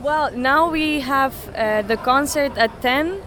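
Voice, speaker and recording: A woman in her twenties from Spain, answering interview questions outdoors in halting English with many restarts. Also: high-pitched. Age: 20s. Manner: halting, restarts often, non-native English. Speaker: interviewee, saxophonist. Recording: noisy street background.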